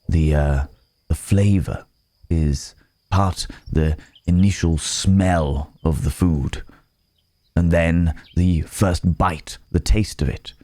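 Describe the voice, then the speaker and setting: Man acting a very deep-voiced, gentle, courteous werewolf. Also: no room sound. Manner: hesitant, halting, polite, soft chuckles, old-fashioned wording. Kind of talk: intimate ASMR audio roleplay monologue.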